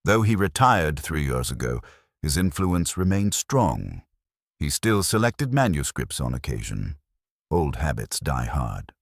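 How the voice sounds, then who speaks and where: A man's voice: rumbling low, big pitch swings, a little slow, creaky, no room sound, clean studio sound, exaggerated voice. A man voicing a nervous, polite young publishing clerk in an audiobook, hesitant and deferential. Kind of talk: audiobook character dialogue.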